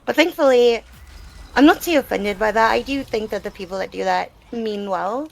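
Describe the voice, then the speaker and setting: A woman's voice: fairly high pitch, animated, moderate pace, vocal fry, low-quality recording. A woman gamer answering questions in a casual live Q&A, talking in a reflective, explanatory and conversational way. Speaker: gamer being interviewed. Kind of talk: live Q&A, casual personal talk.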